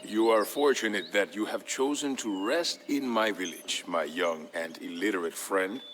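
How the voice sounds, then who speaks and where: A man's voice: lowish voice, moderate pace, a bit bright, slight background noise, close to an everyday voice. A man playing a proud, boastful old village storyteller in a narrated game tale. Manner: boastful, grandiose.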